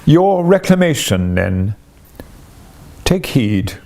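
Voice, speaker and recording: A soft, gravelly ghost from a storybook , acted out by a man, gentle yet commanding. The speech is solemn and measured, with pitch that swoops expressively. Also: big pitch swings, full character voice. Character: gentle but commanding ghost of Christmas past.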